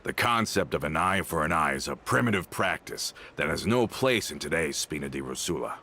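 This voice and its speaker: A man's voice: extremely low pitch, lively intonation, pushed and bright, a little hiss or hum, exaggerated voice. An actor playing a formal, composed male aide to a boss in a game. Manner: formal, measured. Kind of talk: game voice acting.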